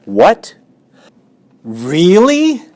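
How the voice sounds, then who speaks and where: A frightened young pig shrieks and sobs in a voice pushed far up in pitch. His cries are loud and wailing. It is a storybook figure, thrown into hysterics. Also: big pitch swings, unhurried. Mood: pleading. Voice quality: high wailing screams. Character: terrified young male pig, shrieking and sobbing.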